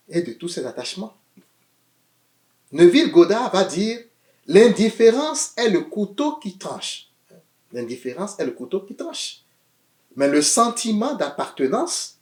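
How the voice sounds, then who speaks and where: A man preaching a sermon in an animated way, swinging between loud emphatic phrases and quiet asides, often repeating words as he searches for them. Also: high voice, reverberant.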